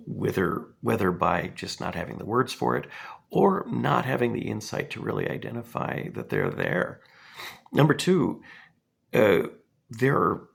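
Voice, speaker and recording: A man in his fifties, hoarse from a cold, giving a halting, earnest commentary with many pauses and fillers. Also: fairly low pitch. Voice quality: gravelly and husky. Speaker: response video maker. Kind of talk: casual spoken commentary.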